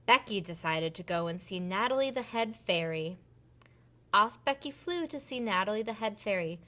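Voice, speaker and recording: A woman reading a picture book aloud for preschoolers in an expressive storytelling manner. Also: medium pitch, normal speed. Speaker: children's storybook reader and host.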